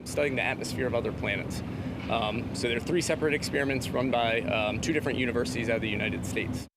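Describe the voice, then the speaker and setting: A man's voice: mid-range voice, steady tone, quick pace, heavy background noise. A man speaking off the cuff in an interview. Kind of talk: unscripted interview talk.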